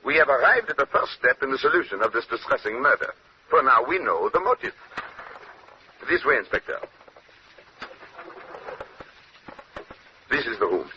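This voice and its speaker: An actor playing a fussy, shrewd Belgian detective with a French-Belgian accent, precise, courteous and theatrical, in 1940s radio style. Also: male voice, mid-range voice, sing-song, brisk, close to an everyday voice. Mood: calm, assured. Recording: muffled, noisy old-time radio recording.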